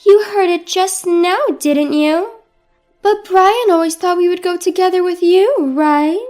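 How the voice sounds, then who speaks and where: A woman's voice: very high-pitched, a little slow, a little mellow, faint music behind, pitched above the performer's own voice. A woman voicing a pushy, smug older mother-in-law with a haughty, guilt-tripping manner in a dramatized story. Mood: sharp, smug. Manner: haughty, artificially wounded, scolding.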